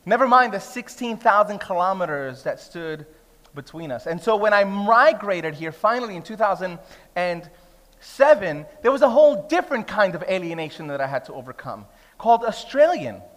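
A man's voice: high-pitched, very animated, normal speed, fairly bright tone. A man, preaching a sermon in a conversational, humorous way that builds to emphatic declarations. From Brooklyn, New York. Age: 30s. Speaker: pastor. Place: church hall. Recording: long reverb of a church hall.

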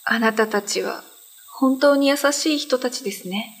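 A devout, gentle prisoner in a radio play. Her voice is fairly high, and she speaks in polite, formal phrases with earnest weight. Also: normal speed. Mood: grateful, solemn. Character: gentle, devout imprisoned woman, grateful and weary. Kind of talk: Japanese radio drama acting.

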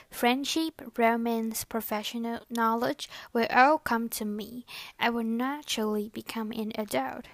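A young woman whose first language is Chinese speaks in a soft, earnest voice on a personal podcast. Her voice sits a little high, and the sound is dry and close, with no room around it. She talks in a reflective monologue that sounds lightly scripted. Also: dry recording. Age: young adult. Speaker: podcast host.

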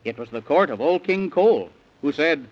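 A man's voice: fairly high pitch, expressive, normal speed. A man narrating a rhyming children's bedtime story in theatrical, sing-song 1930s radio style, on an old recording. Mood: eager storytelling. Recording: dull, band-limited 1930s radio recording.

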